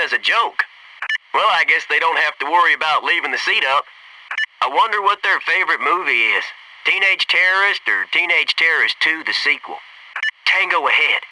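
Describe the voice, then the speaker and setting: An actor playing a brash, wisecracking American Navy SEAL squadmate in a military video game, cocky and slangy, over a radio filter. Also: male voice, high voice, recorded from a distance, noisy background. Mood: focused. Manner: cocky, joking, slangy, barks urgent callouts. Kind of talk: video game combat voice lines.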